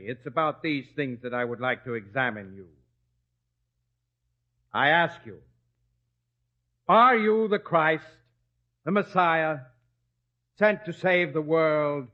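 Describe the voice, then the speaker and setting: A scheming high priest in a biblical radio play. His voice sits fairly high and swings widely as he declaims and presses his case. The sound is a little dull and lo-fi. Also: animated, slow pace, pauses often. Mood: stern, formal. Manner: declamatory, formal, insistent. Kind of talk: biblical radio drama acting. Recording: dull, lo-fi radio drama recording.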